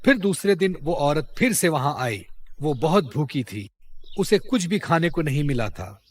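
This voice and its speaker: A man's voice: on the high side, big pitch swings, measured pace. A man narrating a scripted moral story for children as a storyteller.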